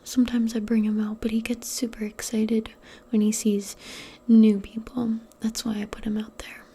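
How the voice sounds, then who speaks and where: A woman role-playing in soft-spoken ASMR, speaking gently and soothingly in a breathy, mostly whispered voice. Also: fairly high pitch, little pitch movement. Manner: gentle, soothing, unhurried, conversational. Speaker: ASMR performer role-playing a modern witch.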